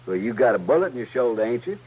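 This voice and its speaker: An actor with a rustic American accent playing a sadistic, mock-polite Old West outlaw leader in a radio western. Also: male voice, medium pitch, big pitch swings, rapid-fire, darkish tone, husky. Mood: mock-polite, menacing. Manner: folksy, sneering, mock-courteous. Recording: noisy vintage radio recording with hum.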